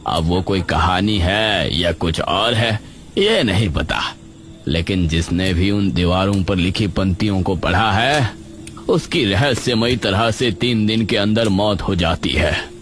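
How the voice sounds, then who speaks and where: Man playing a grave, slow-talking village headman. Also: deep voice, lively intonation, fairly bright tone, slight background noise, heavily acted. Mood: ominous. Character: village headman telling of an eerie local mystery. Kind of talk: Hindi cartoon story dialogue.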